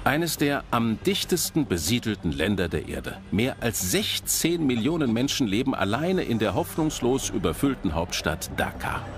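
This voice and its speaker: A man's voice: medium pitch, big pitch swings, moderate pace, hardly any pauses. A man delivering scripted documentary narration as a presenter, addressing the audience directly. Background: background music.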